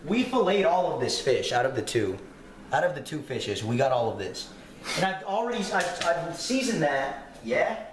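A man's voice: fairly high pitch, very animated, normal speed, echoey room. A young man filming himself cooking in a home kitchen, explaining casually and swearing with cocky confidence. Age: young adult. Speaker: home cook vlogger. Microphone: handheld camera nearby.

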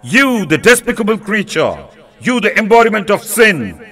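A man's voice: high-pitched, big pitch swings, normal speed, fairly bright tone, faint music behind, pitched above the performer's own voice, exaggerated voice. A man voicing a raging, pleading old king. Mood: wrathful. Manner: impassioned, declamatory pleading. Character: grief-stricken wrathful old male king.

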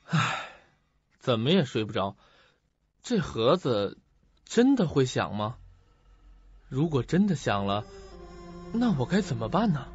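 A man's voice: mid-range voice, sing-song, measured pace, long pauses, breathy edge. An actor playing a terrified, sleepless man slipping into spiteful madness in a suspense radio drama, agitated and muttering to himself. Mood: anxious muttering. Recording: dull lo-fi recording with loud-mixed music.

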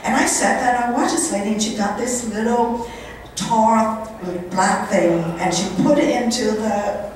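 A woman's voice: fairly high pitch, a little slow. A middle-aged woman telling a personal story as a live monologue, conversational and acting out bits of dialogue. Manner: conversational, animated retelling with quoted dialogue. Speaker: guest speaker at a charity event. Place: indoor function room at a country club. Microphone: handheld microphone, picked up by a far camera. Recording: echoey room, distant camera microphone, room noise.

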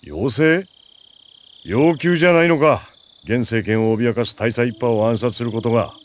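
An actor playing a gruff, terse special forces soldier in a dramatic video game, stoic and wary. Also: male voice, mid-range voice, moderate pace, close to an everyday voice. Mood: wary, questioning.

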